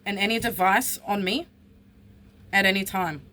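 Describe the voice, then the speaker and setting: A woman's voice: mid-range voice, normal speed, sharp tone. A woman, talking bluntly and with frustration in a personal vlog. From Australia. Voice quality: husky. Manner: blunt, frustrated, swears freely. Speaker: vlogger.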